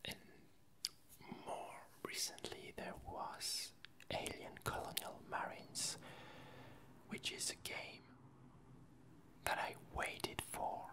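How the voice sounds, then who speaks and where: A person with a French accent and French as native language, whispering a casual ASMR chat in a hesitant, unhurried way, close to the microphone. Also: low-quality recording. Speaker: ASMR video creator.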